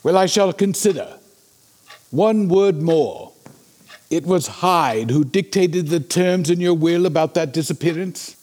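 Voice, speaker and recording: A man playing a sober, concerned Victorian gentleman in a period drama, speaking in a formal, measured, inquiring way. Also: on the high side. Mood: grave, measured. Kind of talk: period audio drama acting.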